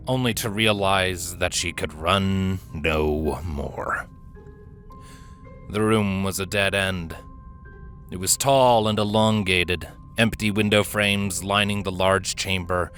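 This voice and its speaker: A man's voice: lowish voice, pushed and bright. A man reading a story aloud as a narrator, with a suspenseful, theatrical delivery. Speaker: fan fiction reader and narrator. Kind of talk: dramatic scripted story narration.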